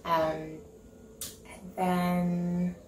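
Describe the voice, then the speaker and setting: Norwegian woman in her thirties, chatty interview guest, low and a bit gravelly, recorded at a distance. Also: level tone, very slow, a little mellow, a little hiss or hum. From Norway. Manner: chatty, giggly, lots of ums and restarts. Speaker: interview guest, concert pianist. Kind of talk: casual interview chat. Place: home living room with piano, indoors. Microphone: far camera mic. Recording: echoey room, mic at a distance.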